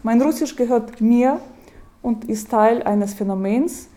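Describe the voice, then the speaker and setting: A woman giving a lecture, speaking in a measured way, with hesitations and restarts, and reading quotations aloud. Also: on the high side, mellow.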